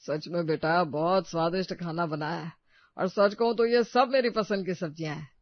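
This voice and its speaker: An actor playing a mild, kindly old man, a father-in-law in a family story, with a creaky voice and soft reassuring manner. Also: mid-range voice, normal speed, dry recording, highs cut off, lightly acted. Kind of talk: acted dialogue in a Hindi family story.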